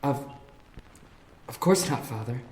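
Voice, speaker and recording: A man's voice: mid-range voice, expressive, takes it slowly, darkish tone, soft background music. An actor playing an earnest, deferential young man being initiated into a secret order in an audio drama. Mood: submissive, uneasy. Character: earnest young initiate, obedient son of cult leader. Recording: echoey room with faint noise.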